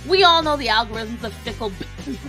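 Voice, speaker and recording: A woman's voice: a little high, lively intonation, a little fast, pushed and bright, soft background music, low-quality recording. A woman voicing a brash, foul-mouthed, confrontational young woman with bossy sass, bantering in character in a live Q&A. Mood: brash, taunting. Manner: confrontational, sassy, swearing, slangy.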